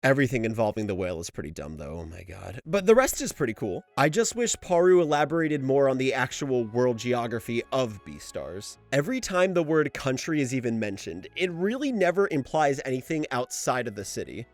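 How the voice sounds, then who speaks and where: A man's voice: medium pitch, big pitch swings, a little fast, few pauses. A man hosting an anime lore video, delivering scripted commentary in an emphatic way. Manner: emphatic, exasperated asides, swearing. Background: background music.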